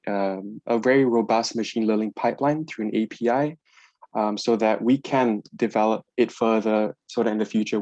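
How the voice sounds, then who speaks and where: A man giving an informal, explanatory presentation on a video call, somewhat halting as he goes. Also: on the low side, a little mellow, dry recording. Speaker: organization presenter.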